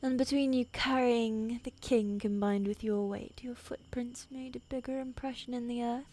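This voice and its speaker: A woman's voice: a little high, fairly even intonation, moderate pace, mellow, natural voice. A woman playing a fierce, imperious young queen in a fantasy audio roleplay, intense and protective. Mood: confident, challenging.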